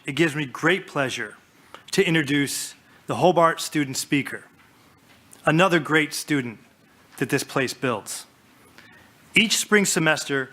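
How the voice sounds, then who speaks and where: A man, a college dean, formally introducing speakers at a ceremony in a steady, courteous manner. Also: a little high.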